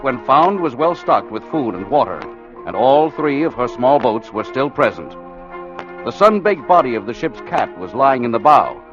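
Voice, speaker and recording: Man acting sober American newsreader, medium pitch, formal radio drama delivery, muffled vintage sound, faint music. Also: a bit bright, lightly acted. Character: sober newsman reading a report of sea mystery. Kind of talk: 1940s radio newscast acting.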